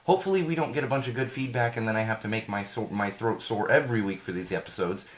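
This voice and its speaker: A man hosting a live podcast in a casual, ranting way, hoarse and congested from a cold, often repeating himself as he talks straight to his viewers. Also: fairly low pitch, fast talker. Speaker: podcast host.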